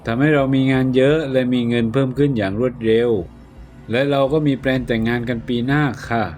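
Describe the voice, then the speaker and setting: A man voicing a nervous young woman who is curious and anxious, speaking in a high falsetto. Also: steady tone, brisk, a little mellow, soft background music, lightly acted. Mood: anxious pleading. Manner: high put-on falsetto, pleading.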